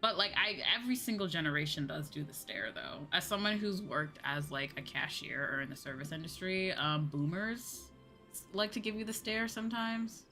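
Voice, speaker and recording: Bright-voiced woman chatting on stream. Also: fairly low pitch, expressive, normal speed, faint music behind. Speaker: VTuber streamer. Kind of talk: casual livestream chat over a game.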